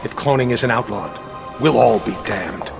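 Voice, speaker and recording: A man's voice: medium pitch, lively intonation, moderate pace, gravelly. A man playing a smug, ruthless conspiracy boss in a video game cutscene, articulate and sardonic. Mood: gloating. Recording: background music over dialogue.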